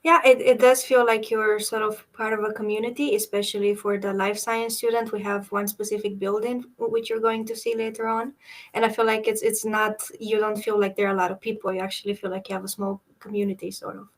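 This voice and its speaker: A young woman from Romania joins a webinar as a student guest. She talks casually and hesitantly, often pausing to search for her words. Her pitch sits in the middle of her range. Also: little pitch movement. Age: young adult.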